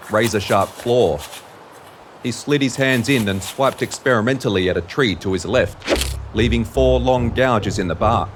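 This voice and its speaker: Male storyteller over prominent music. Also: fairly low pitch. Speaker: narrator. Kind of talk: scripted audio drama narration.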